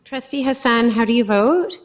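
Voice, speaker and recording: A woman's voice: fairly high pitch, lively intonation, moderate pace. Woman chairing a formal board vote. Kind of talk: formal meeting roll-call vote.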